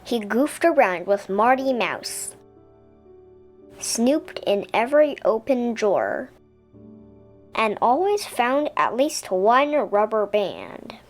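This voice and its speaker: A woman reading a children's story aloud as an expressive narrator. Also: fairly high pitch, slow pace, soft background music. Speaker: storybook reader.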